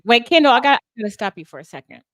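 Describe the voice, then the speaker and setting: A woman hosting a livestream conversation, blunt and animated, cutting in to push back on her guest. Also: fairly high pitch, racing, no echo, clean studio sound. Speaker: livestream host.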